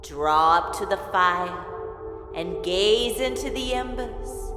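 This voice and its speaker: An ancient witch hosts a radio horror tale with sinister glee. Her voice swoops up and down and cackles, drawing out every phrase very slowly, in a cavernous reverb. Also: medium pitch, lively intonation. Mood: sinister relish. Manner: cackling, drawn-out sinister relish. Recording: echoey hall reverb, background music.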